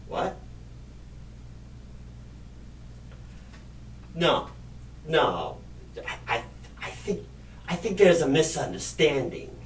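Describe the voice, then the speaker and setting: Man acting as clueless male office recruiter, husky, slow halting stammer, swooping pitch, absent-minded, comedy roleplay. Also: slight background noise, poor audio. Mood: confused, alarmed. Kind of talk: ASMR comedy roleplay, celebrity-style impression.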